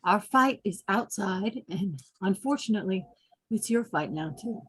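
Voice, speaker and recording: A woman playing a firm, guarded fighter under siege in a horror script reading, with a husky voice. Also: mid-range voice, lively intonation, a little slow, fairly bright tone.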